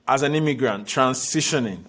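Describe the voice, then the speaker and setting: A man hosting an interview, asking guiding questions in a measured way. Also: mid-range voice, a bit bright. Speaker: interview host. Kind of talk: casual interview conversation.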